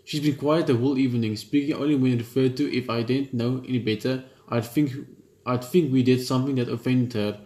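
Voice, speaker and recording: A man reading a horror visual novel aloud in the first person, steady but often stumbling and restarting lines. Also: medium pitch, a little fast. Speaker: gaming channel host. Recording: roomy room with loud game music.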